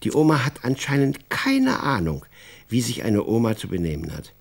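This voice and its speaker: A male actor as the wry narrator of a children's radio drama, telling the story with animation in a gravelly, husky voice. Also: mid-range voice, wildly swooping pitch, moderate pace, heavily acted.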